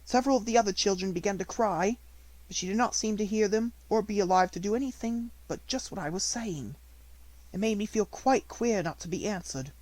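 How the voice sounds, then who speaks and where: A man's voice: high-pitched, brisk, a little mellow, voice pushed up, close to an everyday voice, rough recording. A man voicing a flustered, uneasy woman in an audiobook story, fussy and wondering in manner. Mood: uneasy, bewildered. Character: flustered, uneasy woman telling of a strange child.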